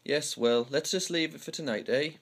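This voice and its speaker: A man with a fairly high voice reads stage dialogue as an amateur. His accent is North East English pitmatic, from around Houghton-le-Spring. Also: normal speed, fairly bright tone. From north-east England. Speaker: amateur script reader. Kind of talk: dramatic dialogue read-through.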